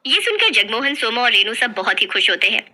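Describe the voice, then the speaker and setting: Woman narrator reading a folk tale, scripted storytelling, fairly high voice, really bright and crisp-edged. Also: very animated, a little fast.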